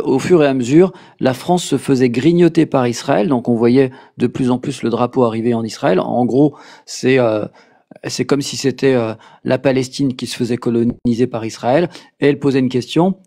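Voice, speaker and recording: A man's voice: medium pitch, mellow. A man hosting a casual political chat, talking hesitantly with many fillers and restarts. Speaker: podcast host.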